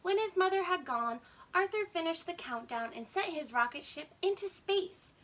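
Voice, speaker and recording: Lady doing kids storytime, really bright lively reading, mic is a little far. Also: extremely high pitch, normal speed. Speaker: storytime host and reader. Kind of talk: animated children's story narration. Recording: slightly distant microphone.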